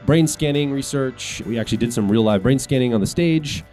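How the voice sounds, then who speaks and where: A man's voice: mid-range voice, brisk, darkish tone, faint music behind. A male podcast co-host talking casually and explaining things, a bit tired after a long week.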